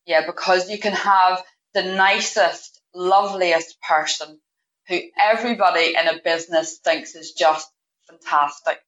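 Woman, low voice, earnest, explanatory, muffled lo-fi remote audio. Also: fairly even intonation, measured pace, fairly bright tone. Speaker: guest payroll manager. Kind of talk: interview answers.

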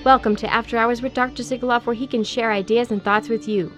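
Higher-voiced female show announcer, soft music. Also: steady tone, fast talker. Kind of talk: scripted intro with disclaimer.

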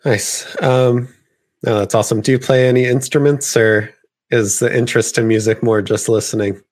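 A man's voice: medium pitch, steady tone, moderate pace, a little mellow. A man interviewing a young player, asking questions casually with fillers like "um" and "like".

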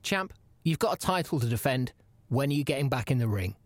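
A man's voice: medium pitch, animated, fairly quick, no echo, lightly acted. An actor playing an exasperated, mock-serious podcast interviewer pressing his wrestler friend in an audio comedy, insistent and pleading. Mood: concerned. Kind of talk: comedy audio drama dialogue.

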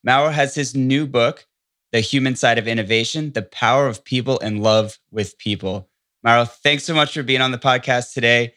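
Casting: male podcast host, bright forceful voice, dry close sound, upbeat. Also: medium pitch, normal speed, no echo. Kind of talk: podcast interview.

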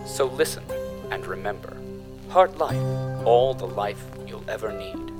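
An actor playing a corporate propaganda announcer, reading a grand, old-fashioned broadcast sponsor message. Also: male voice, lowish voice, expressive, measured pace, music in the background. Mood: grand, salesmanlike. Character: smooth-talking male corporate propaganda announcer.

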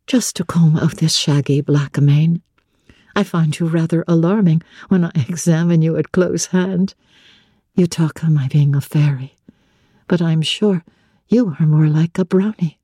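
A woman as a mellow, gently teasing young woman. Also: deep voice, fairly even intonation, unhurried, husky, dry recording, clean studio sound. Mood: playful teasing. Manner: composed, playful, formal old-fashioned speech. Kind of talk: dramatic literary audiobook reading.

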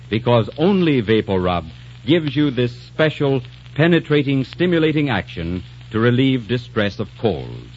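A man reading a 1940s radio commercial in an earnest, insistent announcer's manner. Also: mid-range voice. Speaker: sponsor announcer. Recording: muffled old radio recording with hiss.

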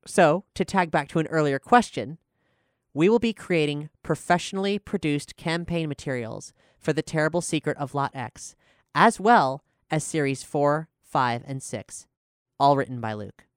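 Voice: mid-range voice, lively intonation, moderate pace, no echo, clean studio sound. A podcast host and showrunner speaking earnestly and articulately while making announcements and talking about a production.

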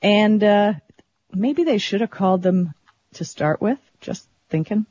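A woman's voice: medium pitch, lively intonation, a little slow, a little mellow, no echo, muffled recording. A woman co-hosting a casual tech show, laughing often and steering the conversation through the topics.

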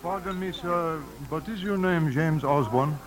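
A man acting a head waiter in a vintage radio play. His voice is fairly high for a man, polite and deferential throughout. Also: a little slow, a crowd in the background, lightly acted. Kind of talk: 1950s radio drama acting.

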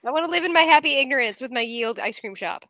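A woman's voice: sits high, lively intonation, rapid-fire, a bit bright, dull audio. A woman co-hosting a casual chat, joking and informal in a playful way. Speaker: podcast co-host.

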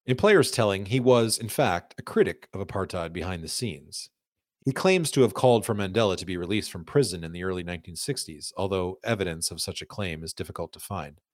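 Male narrator, somewhat deep, measured long-form storytelling for a narrative podcast, recorded very dry. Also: animated, no echo. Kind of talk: scripted narrative documentary narration with read-out quotes.

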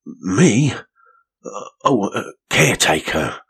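A man reading aloud, voicing a shabby, nervous little male caretaker with a husky voice and rough grammar. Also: fairly low pitch, big pitch swings, very slow, a bit bright, pitched above the performer's own voice, exaggerated voice. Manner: nervous, working-class grammar.

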